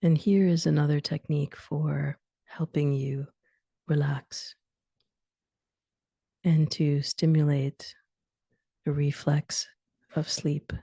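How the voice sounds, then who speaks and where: A woman leading a guided sleep meditation, giving soothing instructions in short phrases with long pauses and soft, breathy endings. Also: low voice, fairly even intonation, dry recording, clean studio sound.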